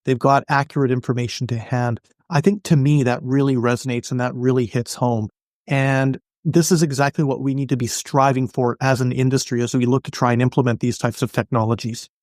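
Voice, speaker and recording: A man giving explanatory interview answers as an expert podcast guest, articulate, measured and businesslike. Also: medium pitch, fairly even intonation, darkish tone, no room sound, clean studio sound. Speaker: podcast guest, industry analyst.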